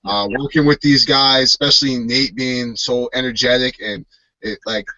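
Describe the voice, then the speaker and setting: Upbeat man in his thirties, bright tone. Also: mid-range voice, steady tone, moderate pace, dry recording, lo-fi sound. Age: 30s. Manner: friendly, upbeat host. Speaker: host. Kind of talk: casual chat on a live video call.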